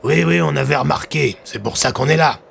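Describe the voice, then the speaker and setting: A man's voice: on the high side, fairly quick, vocal fry, fairly bright tone, slight background noise, voice pushed up. A man voicing a firm, courteous senior police commissioner in an audio drama, authoritative and formal.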